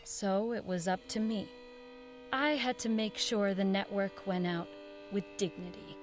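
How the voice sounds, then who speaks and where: Actress doing earnest 1940s radio exec lady, dignified n persuasive, audio drama, middle pitch, music behind her. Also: fairly even intonation, a little slow, close to an everyday voice. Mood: reflective narration. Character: Helen, idealistic, determined woman radio network executive, late 1940s. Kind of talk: audio drama acting, dialogue and wistful first-person narration.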